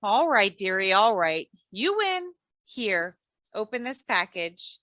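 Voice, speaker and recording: Woman playing bright, animated, wry radio wife. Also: medium pitch, takes it slowly, no room sound, full character voice. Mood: indulgent, teasing. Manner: dry, teasing comebacks with homely endearments. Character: shrewd, long-suffering, affectionate radio-comedy wife with dry wit. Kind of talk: 1940s radio comedy acting.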